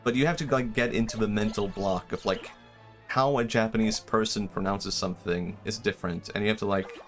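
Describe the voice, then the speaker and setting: Young Swedish man, American-sounding accent, lowish voice, casual streamer chat, faint music behind. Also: a little fast. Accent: American-style English over a Swedish base. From Sweden. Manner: rambling, self-deprecating, reads chat aloud.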